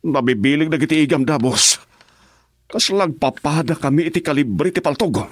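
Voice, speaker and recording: A man's voice: fairly high pitch, expressive, moderate pace, slightly rough, no room sound, studio quality. An actor with a Filipino accent playing an uneasy, deferential gang henchman in a radio drama, speaking hesitantly. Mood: nervous, apologetic.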